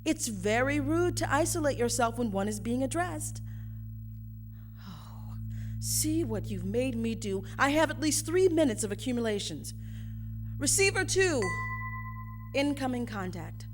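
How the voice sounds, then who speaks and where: A woman's voice: sits high, big pitch swings, measured pace, long pauses. A woman playing a fussy, imperious mother who likes to lecture, with haughty, prim and theatrical radio drama delivery. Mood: irritated, harried. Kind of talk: live radio drama acting. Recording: stage performance with music behind.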